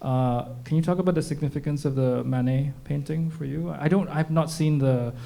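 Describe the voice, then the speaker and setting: A man moderating a post-screening Q&A, asking long, measured questions with the occasional filler. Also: on the high side, gravelly, warm tone.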